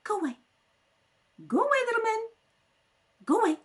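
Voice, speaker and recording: A woman acts a fluttery middle-aged matron in an old radio comedy. She drifts along vaguely and plaintively, with a high voice that wanders up and down. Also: very animated, normal speed. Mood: dazed, plaintive. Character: dotty, fluttery middle-aged society matron seeing pixies. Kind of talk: 1930s radio comedy read-through.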